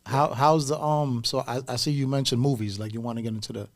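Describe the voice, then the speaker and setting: A man with a medium-pitched voice co-hosts an interview. He puts his questions informally, in everyday casual speech. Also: steady tone, quick pace. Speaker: interview co-host.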